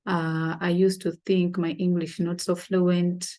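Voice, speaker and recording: Ugandan woman host, young adult, lowish mellow voice, unscripted with fillers. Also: level tone. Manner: unscripted, many fillers and pauses, quotes her own past thoughts. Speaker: channel host. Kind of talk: casual talk to camera.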